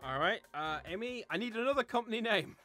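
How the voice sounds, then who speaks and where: A man's voice: high-pitched, lively intonation, quick pace, sharp tone, soft background music. A man hosting a word game in casual banter, with a playful, teasing manner.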